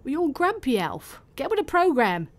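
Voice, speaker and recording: A woman voicing a cocky, excitable male elf, Santa's helper, in a Christmas story. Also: high-pitched, normal speed. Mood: teasing. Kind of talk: cartoonish character acting in a Christmas story.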